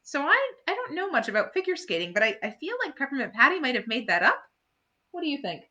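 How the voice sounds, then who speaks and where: Lady doing kids storytime, high bright voice, expressive, chats to the little listeners as she reads. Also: lo-fi sound. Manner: expressive, pauses to chat to young listeners. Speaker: children's storyteller at a library. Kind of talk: animated read-aloud bedtime story.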